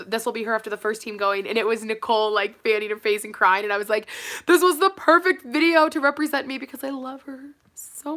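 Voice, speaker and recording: A woman's voice: on the high side, sharp tone. A woman in her thirties chatting casually as she reads comments aloud, her voice a little nasal from a cold. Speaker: cheer YouTuber answering live chat. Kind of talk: casual chat.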